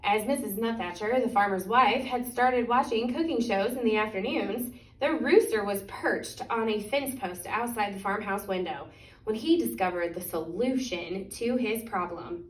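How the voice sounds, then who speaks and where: A woman reads a picture book aloud for children in an expressive, deliberate way, stretching out key words. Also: mid-range voice, a little hiss or hum. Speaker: children's story reader. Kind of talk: animated picture-book storytime reading. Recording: echoey room, distant microphone.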